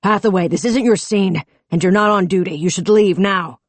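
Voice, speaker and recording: A woman's voice: mid-range voice, normal speed, dry recording, pitched above the performer's own voice, natural voice. A woman playing a stern male police chief. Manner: curt, authoritative.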